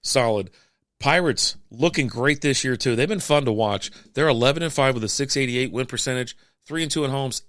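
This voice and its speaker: A man hosting a podcast, reading out sports standings in a casual, chatty way with offhand quips, his voice going hoarse. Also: mid-range voice, fairly bright tone, no room sound, studio quality. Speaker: podcast host.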